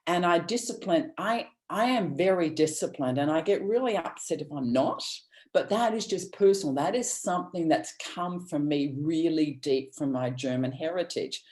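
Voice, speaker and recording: A woman's voice: deep voice, expressive, normal speed, darkish tone. A woman from Australia, giving an earnest, rambling motivational talk with many restarts over a video call. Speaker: guest speaker.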